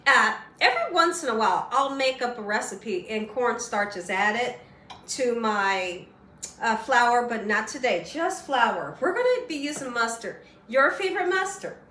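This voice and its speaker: A woman hosting a home cooking show, chatty and folksy, slightly hoarse as she loses her voice, often asking "right?". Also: fairly high pitch, expressive, unhurried, sharp tone, echoey room, distant mic, slight background noise. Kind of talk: casual cooking demonstration. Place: home kitchen.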